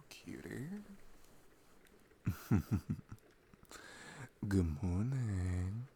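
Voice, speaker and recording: A man playing an affectionate, playful boyfriend in an ASMR roleplay, talking softly and intimately. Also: deep voice, a little hiss or hum, exaggerated voice. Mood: playful, tender. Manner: soft, unhurried, intimate, chuckling. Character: affectionate, playful, sleepy-morning boyfriend, cuddly and sweet.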